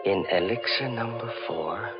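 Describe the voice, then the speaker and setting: Music sits behind a muffled old radio recording. An actor plays a young man telling his fearful secret in a low voice, deliberate and suspenseful. The style is 1940s thriller confession. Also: close to an everyday voice. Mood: hushed suspense. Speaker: actor narrating as lead character. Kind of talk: 1940s radio thriller first-person narration.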